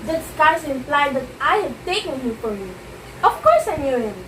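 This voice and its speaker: A woman's voice: sits high, sing-song, brisk, a bit bright. A woman playing a proud, poised stage actress in an amateur play, speaking formally with a theatrical, condescending air. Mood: cool, composed. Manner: theatrical, haughty, formal diction. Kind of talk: amateur one-act stage play dialogue. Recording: echoey room, distant mic, clear noise.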